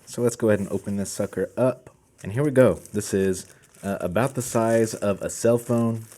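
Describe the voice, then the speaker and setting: A man with a fairly low, mellow voice hosts a casual product unboxing. His tone is soft-edged and relaxed as he presents each item in an informal explanatory style. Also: moderate pace. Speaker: host of a product channel.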